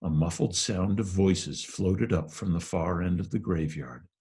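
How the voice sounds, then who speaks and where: A man narrating a classic novel in a measured, expressive storytelling manner. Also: low voice, slightly rough. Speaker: reader.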